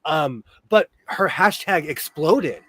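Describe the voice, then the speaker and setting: A man's voice: on the high side, animated, slow pace, fairly bright tone, husky, no room sound. A middle-aged American man hosting a casual sewing stream, chatty and self-deprecating, laughing often. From United States. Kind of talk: casual chat. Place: home, live stream.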